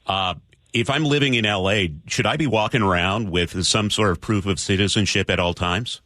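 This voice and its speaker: A man's voice: fairly low pitch, moderate pace, bright, forceful tone, no echo. A man hosting a live radio news interview, asking blunt, plain-spoken questions. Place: radio studio.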